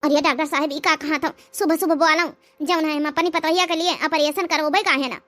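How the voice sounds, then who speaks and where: A woman's voice: high voice, fairly even intonation, brisk, fairly bright tone. A woman with an Awadhi accent playing a worried, devoted elderly village mother-in-law in a cartoon comedy. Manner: fretful, pleading.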